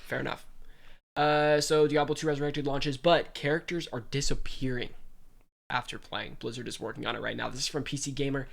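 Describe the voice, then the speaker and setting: This is a young man with a stuffy, congested voice, chatting animatedly as he hosts a podcast. His voice has a gravelly fry running through it, and he moves from casual banter to reading gaming news aloud. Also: medium pitch, fairly even intonation, brisk. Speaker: podcast host.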